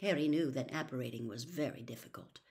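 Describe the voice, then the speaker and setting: A woman reading a storybook aloud as narrator, in an expressive storytelling style. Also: extremely low pitch, fast. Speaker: audiobook reader.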